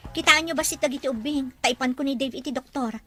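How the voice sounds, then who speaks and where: A woman's voice: sits high, fast, bright, forceful tone, faint music behind. A woman playing a dutiful, polite young wife and mother in a radio drama. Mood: polite, concerned.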